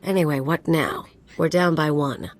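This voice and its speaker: Deep-voiced, flippant mercenary sidekick played by a woman. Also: normal speed, close to an everyday voice. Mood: blunt, brisk. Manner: blunt, flippant. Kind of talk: video game dialogue acting.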